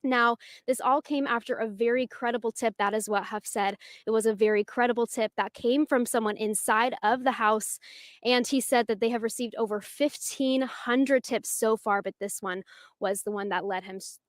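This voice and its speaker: A woman's voice: on the high side, steady tone, moderate pace, dry recording, studio quality. An American woman giving a conversational live news report from the field. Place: outdoors in a residential street.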